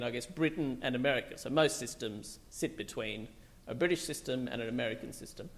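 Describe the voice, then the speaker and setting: A man's voice: medium pitch, gravelly, a bit bright. A middle-aged man from Bangladesh, a psychiatrist, giving a loose, conversational public talk full of fillers and restarts.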